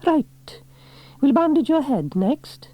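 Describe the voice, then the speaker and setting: A brisk, capable young firefighter woman, voiced by a male narrator in a storybook. Her voice sits raised and light, kind but firmly in charge. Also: extremely high pitch, expressive, mellow, dry recording, pitched above the performer's own voice, full character voice. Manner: brisk, kindly, bossy.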